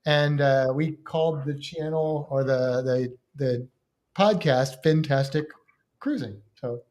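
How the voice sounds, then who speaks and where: A man's voice: medium pitch, slow, a little mellow. A man guesting on a livestream podcast over a video call, talking casually and hesitantly, with many restarts.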